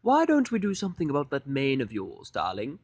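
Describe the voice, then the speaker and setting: A man plays a fussy fashionista mare in an audio drama. The voice is pitched well up, prim and haughty, drawing words out with theatrical flair. The sound is close and dry. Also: huge pitch swings, no room sound. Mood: affronted, persuasive. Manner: haughty, drawn-out, dramatic delivery. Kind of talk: fan fiction audio drama character voice.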